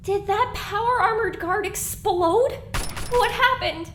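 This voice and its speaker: Woman as very high-pitched, bewildered young mare. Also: slow pace, a bit bright, a little hiss or hum, full character voice. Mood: confused, anxious. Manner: questioning, anxious. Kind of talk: audio drama acting.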